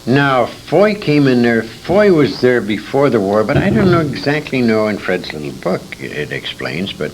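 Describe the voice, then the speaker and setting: An older American man reminiscing in an interview, telling anecdotes emphatically and repeating words for emphasis. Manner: emphatic storyteller, acts out quoted dialogue, repeats words for emphasis. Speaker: interviewee, veteran radio performer recalling his career. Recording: noisy 1980s convention interview tape, rumble.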